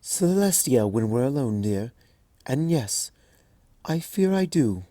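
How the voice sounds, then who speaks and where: Celestia, a man voicing a gentle regal princess. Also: medium pitch, no room sound. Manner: calm, slow, hushed and kindly. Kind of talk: fanfic audiobook reading.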